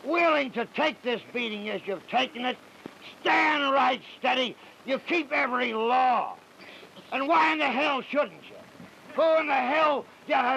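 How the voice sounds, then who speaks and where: Fiery colonel rallying veterans: high, bright, emphatic, folksy stump oratory for period radio drama. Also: male voice, gravelly, slight background noise, heavily acted, poor audio. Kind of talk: 1930s public speech in a radio play.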